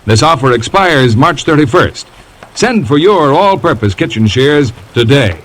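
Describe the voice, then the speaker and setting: A man's voice: mid-range voice, sing-song, unhurried, very noisy. An American man announcing in a scripted 1950s radio style, with polished, emphatic salesmanship. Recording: vintage radio broadcast with music.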